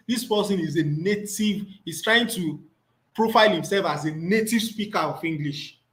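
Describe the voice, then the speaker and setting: A man's voice: high-pitched, fairly bright tone, rough recording. Man teaching a screen tutorial. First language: Yoruba. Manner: thinks aloud, repeats words while reading off the screen. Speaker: instructor.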